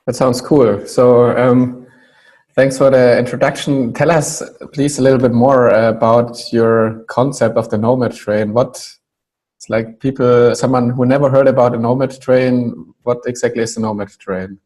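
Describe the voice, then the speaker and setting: A German-speaking man hosting an online interview over a video call, switching to English and pausing often with fillers. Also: medium pitch, fairly even intonation, darkish tone. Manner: hesitant, many fillers. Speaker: interview host.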